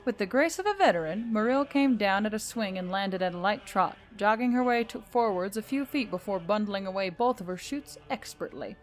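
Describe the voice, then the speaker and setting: Woman doing dramatic audiobook style narration, mid pitched voice, scripted fiction. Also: animated, brisk, few pauses. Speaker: story narrator.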